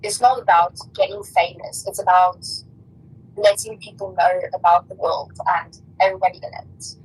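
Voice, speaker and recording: Slightly husky woman, fairly even. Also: lowish voice, normal speed, poor audio. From Africa. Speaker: podcast co-host. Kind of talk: casual podcast chat. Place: online voice call.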